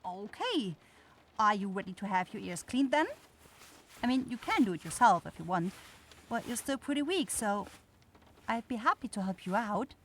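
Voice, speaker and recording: A woman's voice: mid-range voice, very animated, moderate pace, long pauses, a little hiss or hum. A woman playing a kind young forest witch in an ASMR fantasy roleplay, shy and caring, easily flustered into stammering. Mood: caring, tender.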